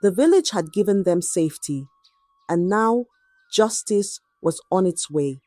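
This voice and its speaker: A woman telling a dramatic scripted story in an expressive, measured and suspenseful way. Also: medium pitch, no echo, studio quality, soft background music.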